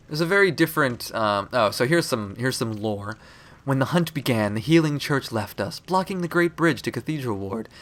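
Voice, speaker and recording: A man's voice: medium pitch, sharp tone. A young man with a stuffy nose from a cold, casually chatting and swearing as he plays a game for viewers. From Florida. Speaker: let's play host. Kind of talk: casual gameplay commentary. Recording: recording with clear background noise.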